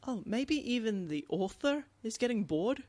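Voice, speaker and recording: A man co-hosting a podcast, talking through books casually in an animated, emphatic way and often repeating words. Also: high-pitched, moderate pace, dry recording. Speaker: podcast co-host. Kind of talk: casual book discussion.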